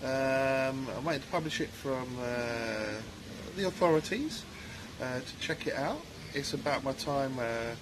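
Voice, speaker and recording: A man answering interview questions earnestly, pausing often with ums and uhs. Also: medium pitch. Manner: hesitant, frequent ums, earnest. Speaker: guest, author and former soldier. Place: outdoor cafe at a resort. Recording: noticeable background noise.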